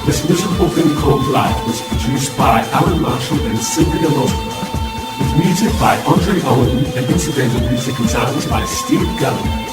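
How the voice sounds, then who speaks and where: A man reading the credits in a slow, deliberate voice. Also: mid-range voice, lively intonation. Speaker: announcer. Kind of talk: credits announcement. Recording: roomy, distant, loud music.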